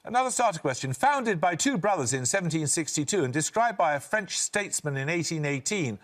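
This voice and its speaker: An older man hosting a television quiz, reading questions in a crisp, brisk manner. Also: fairly high pitch, expressive, a bit bright. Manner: crisp, brisk quizmaster delivery. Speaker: quiz show host.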